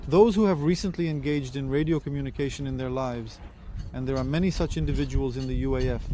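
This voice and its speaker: A man's voice: medium pitch, noisy background. A man giving a hands-on product review and demonstration, explaining plainly and step by step as the presenter. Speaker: presenter reviewing radio equipment.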